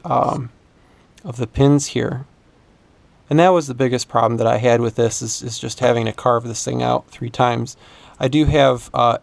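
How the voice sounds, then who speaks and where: A man explaining a hands-on repair casually, in a hesitant, halting way. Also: medium pitch, gravelly, dry recording. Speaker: repair video host.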